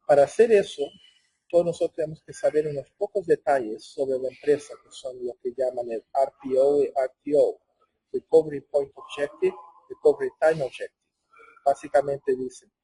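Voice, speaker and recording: A middle-aged man with a Portuguese-tinged accent presents a technical webinar, his voice very raspy and dark in tone. The sound is dry and close. He talks conversationally and often restarts words mid-phrase. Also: a little high, no room sound. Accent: Brazilian Portuguese-tinged Spanish. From Chile. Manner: conversational, many restarts and self-corrections. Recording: muffled, hoarse-sounding office audio.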